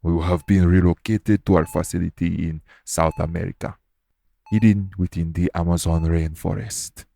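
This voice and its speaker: A low, gravelly male official of a secret organization, played by a male voice actor, addresses the listener in an audio roleplay. His tone is dark and mellow, and his delivery is formal, measured and calm. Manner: measured, formal, matter-of-fact.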